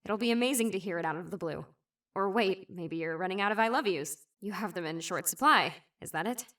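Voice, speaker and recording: A woman's voice: medium pitch, a little fast, a bit bright, natural voice. Brash, sarcastic young woman who teases without mercy, voiced by a woman. Her voice sits in an ordinary middle register and sounds slightly distant from the mic. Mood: playful. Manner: sarcastic, teasing, blunt. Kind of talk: fan animation voice acting.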